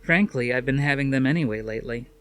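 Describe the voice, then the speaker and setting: Guy doing a worried lady scientist in an audiobook, higher pitched, frank and kinda exasperated. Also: fast talker, fairly bright tone, close to an everyday voice. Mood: uneasy. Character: anxious, practical woman scientist, frank and questioning.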